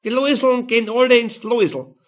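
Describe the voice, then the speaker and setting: A man's voice: extremely high pitch, normal speed, fairly bright tone, voice pushed up. A man voicing a brash, mocking middle-aged Viennese prostitute in an audiobook, blunt and teasing. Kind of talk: audiobook character voice.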